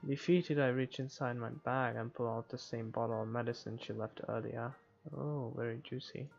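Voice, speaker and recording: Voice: mid-range voice, fairly even intonation, moderate pace, creaky. A game player reading visual novel narration aloud with offhand comments, now and then stumbling over words. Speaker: video game playthrough narrator. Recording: muffled recording with music behind. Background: game music.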